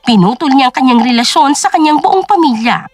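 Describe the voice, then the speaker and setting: A woman's voice: high voice, lively intonation, brisk, no room sound. A woman narrating a scripted drama story in a dramatic storytelling style.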